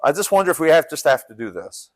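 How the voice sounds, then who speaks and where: A man's voice: fairly high pitch, very animated, quick pace, dry recording. A man preaching a sermon as pastor, with a strong voice, urging his listeners in earnest, repeating and restarting his phrases. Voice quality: strong coach-like voice. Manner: earnest, repetitive exhortation with restarts.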